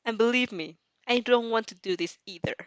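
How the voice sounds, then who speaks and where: A woman voicing an exasperated, polite but stubborn high school girl in a story reading, blunt and annoyed. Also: a little fast, no room sound, natural voice.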